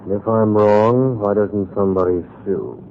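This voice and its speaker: An actor playing a coldly menacing, controlled racketeer boss, curt and measured, in a 1940s radio crime drama. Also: male voice, fairly low pitch, lightly acted. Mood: imperious. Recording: muffled, faintly noisy 1940s radio recording.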